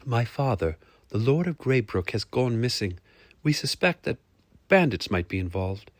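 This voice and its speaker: A man's voice: mid-range voice, big pitch swings, normal speed, no room sound, lightly acted. A man voicing a young noblewoman in a fantasy audiobook, polite, demure and businesslike. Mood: matter-of-fact. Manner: polite, demure, matter-of-fact.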